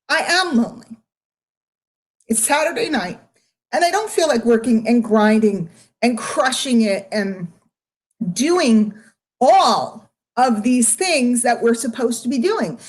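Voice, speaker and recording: Higher-pitched American woman, emphatic and candid. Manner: emphatic, confrontational, repeats phrases for stress. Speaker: online marketer speaking to followers. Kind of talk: candid off-the-cuff monologue to camera.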